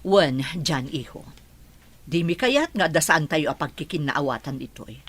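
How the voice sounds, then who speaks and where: A middle-aged woman with an Ilocano accent playing a firm businesswoman mother who talks in an animated way. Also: deep voice, a little slow, heavily acted. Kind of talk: Ilocano audio drama.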